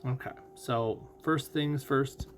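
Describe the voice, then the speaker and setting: A man giving casual game commentary as host of a playthrough, thinking out loud and putting questions to himself. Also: mid-range voice, slow pace, slight background noise. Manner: thinks out loud, asking and answering own questions. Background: music.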